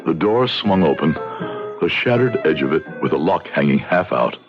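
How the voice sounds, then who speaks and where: An actor playing a dignified frontier judge, formal, measured and earnest, in the emphatic style of old-time radio drama. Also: male voice, a bass voice, lively intonation. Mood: grave, reflective. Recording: muffled radio recording with music behind.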